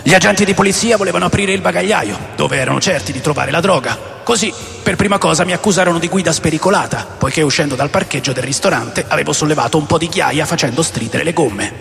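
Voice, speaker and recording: Gravelly rebellious rock musician in reverb. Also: male voice, fairly high pitch, a bit bright. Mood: animated, indignant. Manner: deliberate, theatrical pauses. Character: aging rebellious rock musician recounting his wild past. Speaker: radio drama narrator. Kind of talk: dramatic first-person memoir narration. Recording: long reverb over music.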